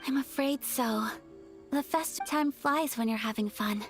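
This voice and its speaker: A woman playing a polite, gentle young noblewoman in an anime game, earnest and graceful, with formal, courteous phrasing. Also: high-pitched, measured pace. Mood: wistful. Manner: formal, courteous, soft-spoken. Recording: music playing behind the voice.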